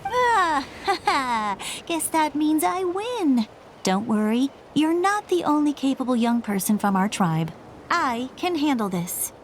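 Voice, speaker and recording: High-voiced, plucky younger sister. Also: female voice, sing-song, measured pace, a little hiss or hum. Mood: triumphant. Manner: insistent, plucky. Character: stubborn, spirited younger sister, eager young tribeswoman. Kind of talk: video game voice acting. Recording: game music behind the voice.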